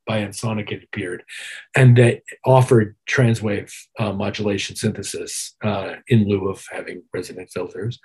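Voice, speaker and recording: Husky man telling stories. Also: mid-range voice, a little mellow, rough recording. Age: middle-aged or older. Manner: reflective, detailed, acts out remembered dialogue. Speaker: musician and producer, interview guest. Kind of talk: casual anecdotal storytelling.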